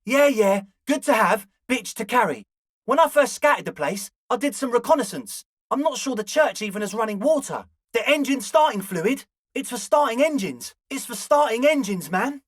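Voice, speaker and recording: A man's voice: extremely high pitch, expressive, moderate pace, dry recording, clean studio sound. A man voicing an eager, flaky young male slacker ringleader in a video game, talking in an earnest, ingratiating, hedging way. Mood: casual, slackerish. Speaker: voice actor. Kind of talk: video game character dialogue.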